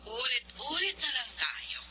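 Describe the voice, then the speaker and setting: Middle-aged Filipino mother, radio drama: high voice, animated scolding, busy and impatient. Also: measured pace. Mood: exasperated, pleading. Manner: scolding, pleading, mixes in English. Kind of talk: Tagalog radio drama acting. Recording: noisy, humming telephone-style radio drama.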